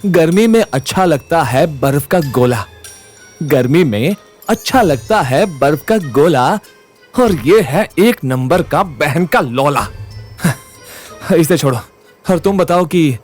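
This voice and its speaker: A man's voice: fairly high pitch, lively intonation, takes it slowly, faint music behind. An actor playing a brash, confident young man who takes charge in an audio drama, with a blunt, swaggering manner. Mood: blunt, provoking. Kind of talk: Hindi audio drama acting.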